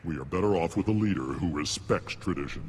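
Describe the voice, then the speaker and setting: An actor playing a proud, hostile alien warrior in a video game, with a gravelly voice and a haughty, declamatory manner. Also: male voice, low voice, brisk, a little mellow, big-room sound, slight background noise, natural voice. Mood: disdainful. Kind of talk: video game cutscene acting.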